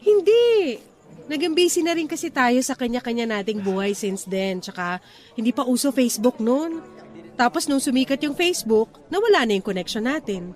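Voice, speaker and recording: Radio drama sweetheart, played by a woman: young, Filipino accent, high voice, proper yet playful, chatty delivery. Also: expressive, moderate pace, a little mellow, faint music behind, lightly acted. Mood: casual, wistful.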